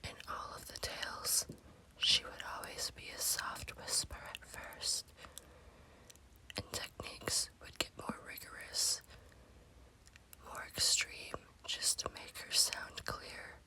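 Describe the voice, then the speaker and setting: Woman, breathy whisper, ASMR horror storyteller, slow and deliberate, crisp sibilant brightness. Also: rough recording. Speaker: host and storyteller of a scary-story series.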